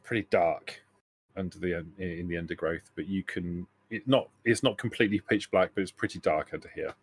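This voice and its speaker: A man narrating a tabletop role-playing game as game master, describing scenes in a halting, self-correcting way. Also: sits low, breathy edge.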